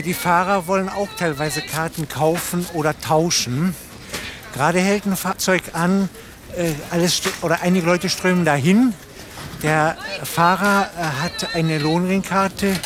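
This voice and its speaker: A man giving a rambling, spontaneous running report on what happens around him, outdoors by traffic. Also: high-pitched. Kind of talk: spontaneous live observation report.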